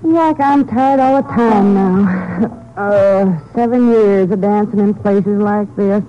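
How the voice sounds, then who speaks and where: A woman's voice: medium pitch, slow, close to an everyday voice. A woman playing a tired, world-weary roadhouse showgirl in a 1950s radio drama, plain-spoken and wry. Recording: muffled old radio recording.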